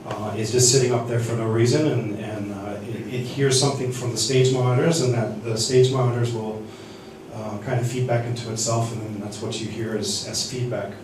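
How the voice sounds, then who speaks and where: A man teaching a seminar on church sound desks, speaking haltingly with many restarts and fillers. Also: mid-range voice, flat delivery, background noise. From United States. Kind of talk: teaching sound-desk basics. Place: church hall. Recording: echoey hall from far off.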